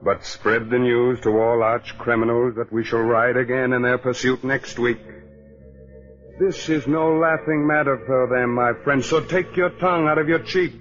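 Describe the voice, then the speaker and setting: An actor playing a sly, teasing, macabre mystery host, theatrical and sardonic, in 1940s radio style. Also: male voice, mid-range voice, fairly even intonation, normal speed, a bit bright, natural voice. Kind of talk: 1940s radio horror narration. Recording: muffled old radio recording. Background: music.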